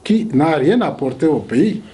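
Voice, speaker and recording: A man debating as a historian, measured and reasoning aloud with rhetorical questions. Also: fairly high pitch, sing-song, warm tone. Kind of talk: debate argument.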